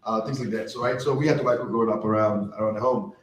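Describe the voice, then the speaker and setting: A man's voice: on the low side, fairly even intonation, racing. Man giving a casual talk to an audience, lots of "right?", roomy hall sound. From France. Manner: conversational, asks the audience questions. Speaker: conference speaker. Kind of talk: live presentation to an audience. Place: event hall. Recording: roomy hall with faint ambience.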